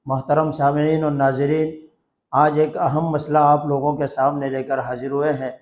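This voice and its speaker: Higher-pitched man giving a religious lesson. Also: flat delivery, moderate pace, a little mellow. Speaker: Islamic cleric answering a religious question. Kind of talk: religious lesson, formal explanatory address.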